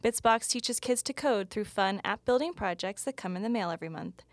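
A woman's voice: mid-range voice, animated, a little fast, no room sound. A woman hosting a startup podcast, reading the intro and interviewing a guest in an upbeat, easy manner. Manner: upbeat, laughs easily. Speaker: podcast host.

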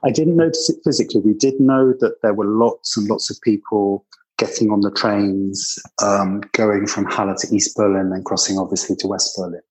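A man's voice: fairly low pitch, steady tone, normal speed, a little mellow, poor audio. An older man with a British accent recalling his past as an interview guest, in a conversational, anecdotal way. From Britain. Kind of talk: conversational personal reminiscence.

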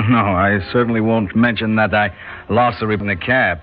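Actor as deep-voiced, wry diplomat on old radio. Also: male voice, fairly even intonation, moderate pace, natural voice. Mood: wry musing. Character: wry male diplomat who lost his briefcase. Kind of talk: old radio drama monologue. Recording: tinny old radio recording, faint music.

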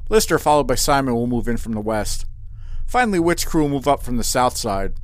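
A man's voice: medium pitch, lively intonation, a little fast, no room sound, natural voice. A restless starship captain played by a man with a mid-range voice and a dry, close sound. He is casual, wry and matter-of-fact, in a sci-fi audio drama. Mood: restless, brooding.

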